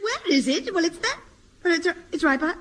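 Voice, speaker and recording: An actress playing an excitable, flustered woman in an old radio sitcom, on a crackly recording. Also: very high-pitched, fairly quick. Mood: excited, flustered. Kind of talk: 1950s British radio comedy acting.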